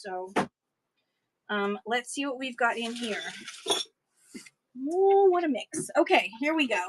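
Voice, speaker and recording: A woman selling books in a chatty live-stream auction, her voice getting hoarse, with playful sing-song asides. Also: sits high, noisy background.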